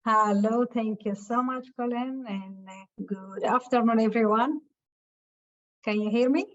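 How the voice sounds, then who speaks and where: A woman from Ethiopia giving a webinar talk over a video call, speaking haltingly with many filler sounds. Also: fairly high pitch. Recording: muffled lo-fi call audio.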